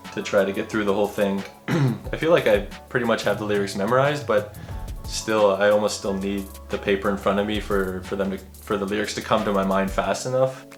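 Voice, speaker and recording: A man chatting casually to the camera in a room at home, self-deprecating and halting, with frequent restarts. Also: on the low side. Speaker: vlogger. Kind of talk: casual vlog talk to camera. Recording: roomy echo with faint music.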